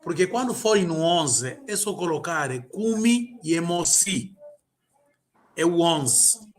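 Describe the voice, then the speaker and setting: A man's voice: on the high side, animated, slow pace. A man tutoring a student in an informal lesson, patiently repeating and drilling words. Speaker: language tutor.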